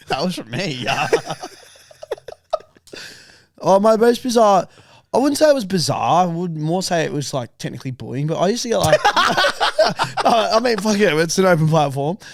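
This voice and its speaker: Raspy young man, gravelly voice. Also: sits high, moderate pace, dry recording. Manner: swearing, laughing, lively storytelling. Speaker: podcast co-host. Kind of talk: casual chat. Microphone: studio podcast mic.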